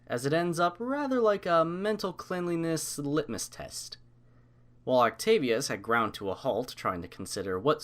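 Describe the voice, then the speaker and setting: Male storybook narrator, middle pitch.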